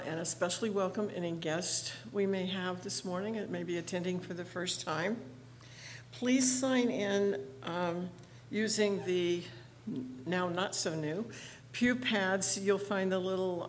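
A woman pastor with a rough voice this morning, giving friendly church announcements with many fillers and leading the liturgy. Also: fairly low pitch, slight background noise. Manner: friendly, chatty, with frequent fillers. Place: church sanctuary.

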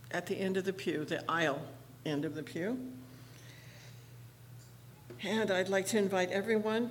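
A woman pastor with a rough voice this morning, giving friendly church announcements with many fillers and leading the liturgy. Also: mid-range voice, slight background noise. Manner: friendly, chatty, with frequent fillers. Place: church sanctuary.

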